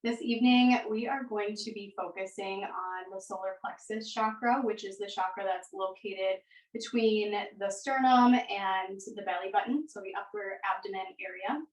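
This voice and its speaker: An echoey room surrounds this woman's voice, which sits some way from the microphone. She has a medium pitch and instructs a yoga class with gentle, unhurried cues, leaving space between phrases. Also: steady tone, far from the mic.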